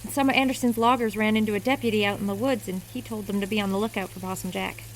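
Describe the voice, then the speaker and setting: An actress playing a brisk, folksy diner cook who scolds and chats in a radio drama. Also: female voice, medium pitch, steady tone, slight background noise, close to an everyday voice, low-quality recording. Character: bossy, chatty female diner cook.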